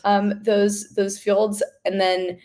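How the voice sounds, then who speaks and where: An American woman from Los Angeles answering interview questions conversationally, in a halting, thoughtful way. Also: medium pitch, flat delivery, mellow. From Los Angeles, United States. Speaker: podcast guest, company founder.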